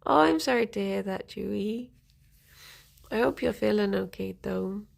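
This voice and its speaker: A woman chats loosely with her live-stream audience, wandering between thoughts and laughing often. Her voice carries a gravelly fry, and her pitch sits in a middle range. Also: a little slow. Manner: chatty and rambling, laughs often. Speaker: streamer reading chat. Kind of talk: casual live-stream chat.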